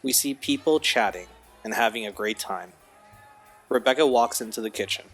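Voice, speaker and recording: A man's voice: mid-range voice, normal speed, a bit bright, faint music behind. A man narrating scripted stage directions for an audio drama.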